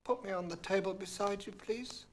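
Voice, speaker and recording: A man voicing a polite but insistent little frog in a children's storybook, coaxing in a drawn-out, pleading way. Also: high voice, pitched above the performer's own voice, close to an everyday voice. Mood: politely insistent.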